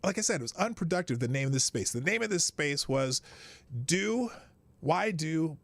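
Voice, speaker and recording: A man's voice: fairly high pitch, lively intonation, normal speed, a bit bright, dry recording. Rambling joking male live-show host. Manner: rambling, self-mocking, joking asides. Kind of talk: casual commentary. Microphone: studio microphone.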